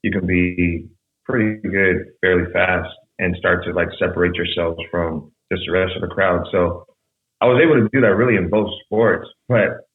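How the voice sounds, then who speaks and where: Podcast guest, young man, very low voice, rambling storyteller, phone-like echoey sound. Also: normal speed. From San Jose, California. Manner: relaxed, rambling storytelling with fillers. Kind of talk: casual conversation.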